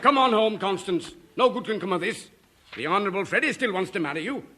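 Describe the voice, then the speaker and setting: High, sharp, outraged stern father. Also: lively intonation, fast, exaggerated voice. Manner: indignant, commanding. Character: outraged, stern upper-class father guarding his daughter. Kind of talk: 1950s radio sitcom acting.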